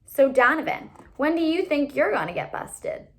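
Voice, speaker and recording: A woman's voice: high voice, big pitch swings, normal speed, voice pushed up. A woman voicing a teasing, gossipy teenage schoolboy in a storybook, slangy and exaggerated.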